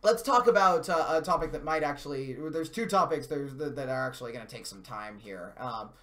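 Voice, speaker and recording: Man, medium pitch, chatty stream host, casual gaming talk, self-mocking, occasional swearing. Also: fairly quick, pushed and bright. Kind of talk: casual gaming news commentary.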